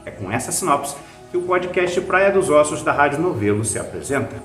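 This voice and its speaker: A man's voice: a little high, animated, fairly quick, a bit bright, reverberant, soft background music. A man with a Brazilian accent, hosting and narrating a scripted review. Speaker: host, writer and reviewer.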